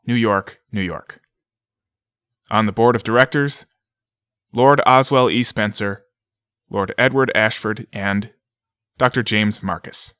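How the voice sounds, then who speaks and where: A man's voice: mid-range voice, sharp tone, no echo, studio quality. A man playing an officious corporate announcer in an audio drama, reading in a clipped, formal, authoritative way. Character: officious male corporate announcer of a sinister company.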